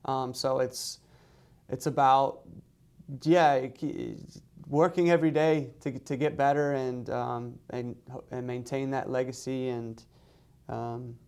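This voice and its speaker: A man speaking as a head coach in an interview, in halting phrases with repeated words. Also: medium pitch, steady tone, darkish tone, smooth. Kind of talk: media day interview answers.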